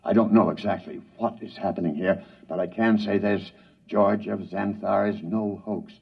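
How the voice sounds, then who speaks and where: An actor playing a grave, measured newscaster delivering dramatic bulletins in an old-time radio drama. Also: male voice, lowish voice, fairly even intonation. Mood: grave, urgent. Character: grave male radio newscaster reporting disaster. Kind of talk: 1950s-style radio news announcing in a drama. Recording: muffled, distant vintage radio, husky sound.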